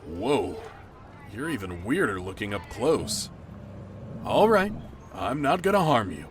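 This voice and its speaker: An actor playing a gruff, blunt middle-aged dad who catches creatures, in a naturalistic audio drama with some swearing. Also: male voice, medium pitch, sing-song, measured pace, noisy background. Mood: focused.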